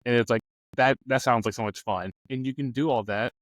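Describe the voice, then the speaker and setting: A man's voice: medium pitch, steady tone, fairly quick, no room sound, low-quality recording. A man talking casually in a loose, informal way, thinking aloud as he offers advice in a discussion. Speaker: discussion panelist on a game master advice show. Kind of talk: casual chat.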